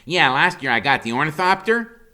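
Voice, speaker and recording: A man's voice: a little high, sing-song, normal speed, pushed and bright, voice pushed up, full character voice. A man voicing a brainy, smart-mouthed thirteen-year-old boy with a cocky, wisecracking manner. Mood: cocky joking.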